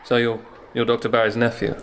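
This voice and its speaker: An actor playing a stern, guarded teenage English public-school prefect, clipped in manner, in a radio drama. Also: male voice, medium pitch, completely flat delivery, a little fast, a bit bright, slight background noise, lightly acted. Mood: brusque.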